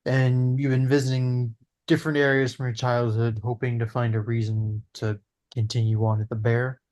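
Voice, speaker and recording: A man's voice: medium pitch, flat delivery, normal speed, darkish tone, lightly acted. A man voicing an earnest, rambling man who pushes his friend not to give up, at a table read.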